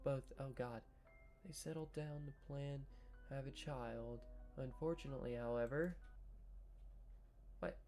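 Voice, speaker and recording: A man reads a story game's text aloud at an unhurried, deliberate pace, his voice pitched in an ordinary middle range. Between passages he slips into playful, self-mocking commentary. Soft music plays faintly underneath. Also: fairly even intonation. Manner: slow, measured reading broken by joking asides. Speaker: gaming video creator. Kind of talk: reading a visual novel aloud with casual commentary.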